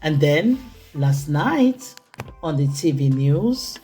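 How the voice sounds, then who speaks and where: A woman reading a children's picture book aloud in an expressive, theatrical way, with deliberate phrasing for young listeners. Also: deep voice, faint music behind. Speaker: children's storytime reader.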